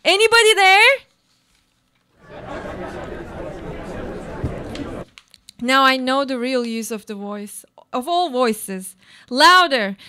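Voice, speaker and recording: A high female voice carries an actress's portrayal of an anguished woman, obsessive and haunted. The delivery is theatrical and inward-looking, a brooding monologue from a live radio play. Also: sharp tone, heavily acted. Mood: desperate, anguished.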